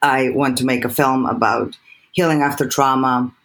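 A woman from Serbia with a Serbian accent, a filmmaker guest speaking earnestly in an interview, animated and often restarting her words. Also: rumbling low, normal speed.

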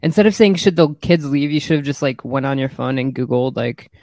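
A man's voice: mid-range voice, fairly quick, darkish tone, no echo, studio quality. A man co-hosting a casual comedy podcast, bantering in a teasing, emphatic, riffing way. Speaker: podcast co-host.

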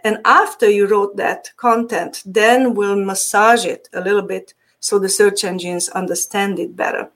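A woman with a Hungarian accent talks as a guest in a relaxed interview. She laughs easily and fills her sentences with hesitations and restarts. Her voice sits at an ordinary middle pitch. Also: normal speed, hardly any pauses. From Transylvania. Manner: laughs often, many fillers and restarts. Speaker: marketing agency guest. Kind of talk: casual interview chat.

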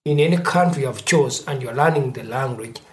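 Podcast host, Ugandan accent, mid-range pitch, thoughtful, long winding sentences. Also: a little fast. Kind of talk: conversational interview.

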